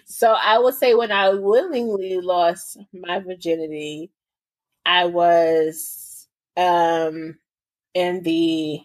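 A woman's voice: fairly low pitch, steady tone, very slow. A woman co-hosting a podcast, chatting in a playful, teasing way and cursing freely. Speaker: podcast co-host. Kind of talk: casual chat.

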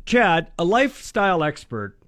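High-voiced radio co-host. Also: male voice, sing-song, moderate pace, a bit bright, dry recording, studio quality. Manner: self-mocking, laughs mid-sentence. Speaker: radio morning show co-host. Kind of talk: casual joking banter. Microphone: studio microphone.

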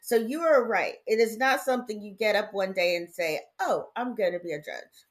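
A middle-aged woman at a middle pitch talks through her career in an articulate, measured way. She sounds relaxed and chatty, a judge sharing her story as a guest. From Indiana, raised in Connecticut. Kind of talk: casual interview, storytelling.

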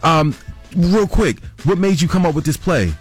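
A man's voice: on the high side, animated, moderate pace, husky, soft background music. A man hosting a morning radio show, talking informally in a casual live interview at a studio mic. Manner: informal, conversational. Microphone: studio broadcast mic.

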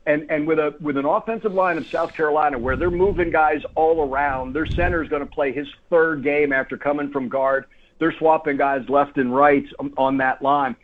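A man's voice: a little high, fairly even intonation, moderate pace, narrow, tinny audio. A man who works as a play-by-play announcer, talking conversationally and emphatically as a guest on sports radio, repeating phrases for stress. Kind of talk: sports talk radio interview.

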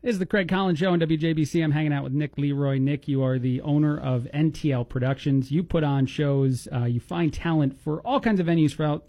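A man's voice: on the high side, warm tone, no room sound. A man from New Jersey hosting a radio talk show, upbeat and laughing along with guests. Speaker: radio host.

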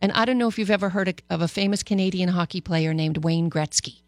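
A woman hosting a radio call-in show as a psychologist, giving animated, encouraging advice. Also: lowish voice, quick pace, no echo, clean studio sound. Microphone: studio microphone.

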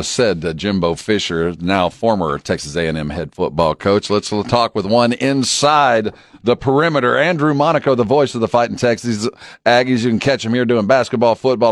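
Low-voiced male sports radio host. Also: no room sound. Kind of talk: conversational radio hosting. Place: radio show.

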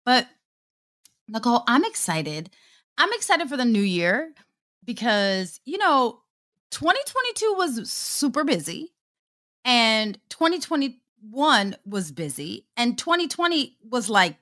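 Upbeat woman with an animated pitch. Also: takes it slowly. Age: late 30s. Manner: upbeat, affirming, repeats phrases for emphasis. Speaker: podcast co-host. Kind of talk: casual chat.

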